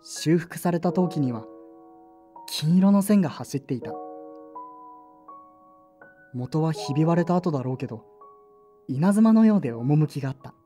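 A woman's voice: deep voice, animated, unhurried, long pauses, dark tone, soft background music. A woman voicing an earnest, anxious teenage boy in a radio drama, boyish and emotional with blunt speech. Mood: reflective narration. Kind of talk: radio drama acting with narration.

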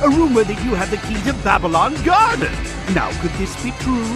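A scheming mad scientist purrs with oily, mock-polite theatre. His pitch swoops wildly up and down across each line. Game music plays clearly behind him. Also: very animated, brisk. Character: scheming mad scientist, older man, smooth and sly.

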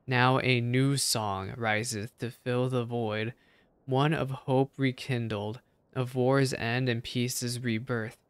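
A man's voice: mid-range voice, level tone, clean tone, no echo. Solemn wise dragon, read by a man. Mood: solemn reverence. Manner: formal, measured, grave recital.

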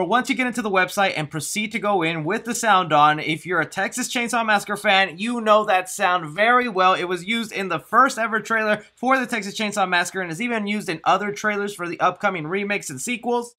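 A man's voice: high-pitched, sharp tone. A young man casually chatting to camera as a movie news vlogger, enthusiastic and talking straight to his viewers from a small room full of collectible figures.

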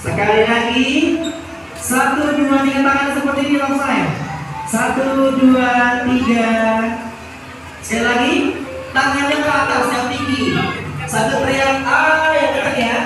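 An event MC at an aquarium, cheerfully counting out loud and directing a group of parents for photos. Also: sits high, unhurried, background noise. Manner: upbeat, counting out loud and giving cheerful instructions. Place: large indoor aquarium hall. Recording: echoey hall from far off, with music and crowd.

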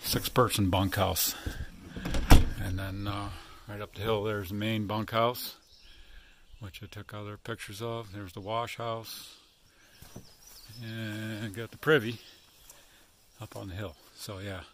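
A middle-aged man talks into his handheld phone as he walks outdoors, his voice sitting a little low. He rambles easily, full of fillers and trailing pauses. Faint outdoor ambience hangs behind him. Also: level tone, fairly bright tone, slight background noise. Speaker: hiker filming his trail vlog. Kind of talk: casual walking commentary. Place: outdoors at a rural hostel and open hilltop meadows. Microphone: handheld phone camera.

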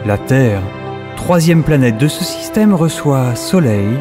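Man putting on a slow, solemn sci-fi narrator. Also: medium pitch, expressive, over music, voice pushed down. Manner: grave, measured, deliberate. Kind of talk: scripted narration.

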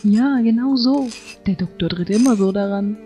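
A woman's voice: medium pitch, normal speed, warm tone, close to an everyday voice. A woman voicing an earnest, fussy teenage boy obsessed with order in a German fan-dubbed anime audio drama. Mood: eager. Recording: dull lo-fi audio with music.

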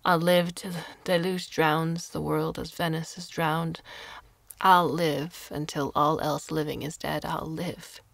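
A woman voicing a tiny, grieving old lacemaker who lives alone, speaking in a hushed, sorrowful near-whisper. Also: low-pitched, flat delivery, slow pace, creaky, no room sound, exaggerated voice. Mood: grim.

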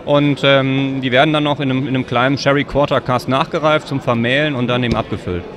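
Guy in his 30s being interviewed, informal and jokey, medium pitch voice, busy fair crowd behind him. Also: fairly even intonation, quick pace. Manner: joking, informal. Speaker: whisky bottler company representative being interviewed. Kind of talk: casual interview chat. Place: whisky fair stand. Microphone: shared handheld microphone.

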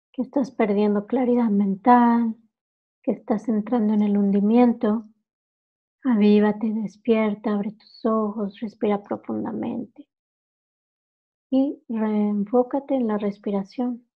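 A woman with a fairly high voice guides a meditation. She gives calm instructions in short phrases and takes her time between them. The recording is somewhat dull and lo-fi. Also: slow pace, long pauses.

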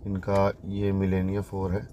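A man's voice: sits low, completely flat delivery, moderate pace, mellow, a little hiss or hum. A man giving a casual walkthrough commentary as a property consultant showing a site. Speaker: real estate consultant giving site updates.

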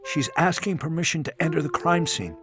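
A man's voice: a little high, brisk, over music, voice pushed up, natural voice. A man voicing a dutiful male police patrolman in a crime audiobook, brief and businesslike.

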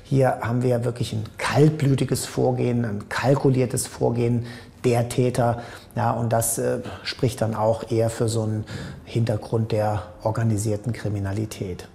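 Male expert analyst, flat intonation, gravelly voice, thinking aloud in commentary. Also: mid-range voice, normal speed.

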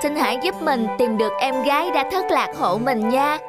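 Polite young cartoon boy played by a woman, high voice, earnest and hopeful, music behind. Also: expressive, normal speed. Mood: wistful.

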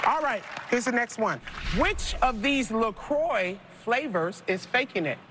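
Lively woman hosting a game show. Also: fairly high pitch, a little slow, noisy background. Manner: playful, lively, Southern-tinged slang like y'all. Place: large studio set of a supermarket. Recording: TV broadcast over loud music. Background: loud game show music.

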